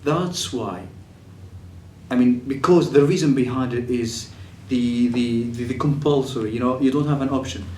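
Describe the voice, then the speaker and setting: A man's voice: mid-range voice, moderate pace, warm tone, slight background noise. A young man from Syria, giving a personal testimony with many fillers and restarts. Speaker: refugee telling his story. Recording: echoey room, mic at a distance.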